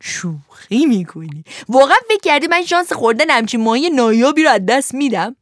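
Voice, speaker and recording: A woman putting on a greedy ogre-like fisherman, who savors every word slowly and mockingly, his voice swinging widely up and down. It is a dry, close storybook reading. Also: high voice, animated, no echo, pitched above the performer's own voice. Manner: slow, relishing, mocking.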